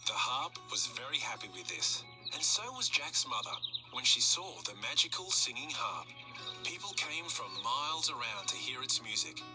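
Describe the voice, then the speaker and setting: A woman storyteller reading a children's story aloud with expressive narration. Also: extremely low pitch, normal speed, over music, low-quality recording.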